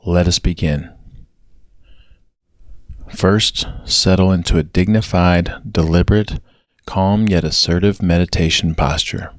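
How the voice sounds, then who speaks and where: A man narrating a guided meditation with measured, gentle instructions. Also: sits low, steady tone, dry recording, studio quality.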